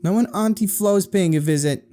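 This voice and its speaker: A man's voice: high voice, normal speed, mellow, voice pushed up, lightly acted. A man voicing an irritable, bossy older sister in a horror story, grumpy, defensive and dismissive. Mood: irritable, drowsy.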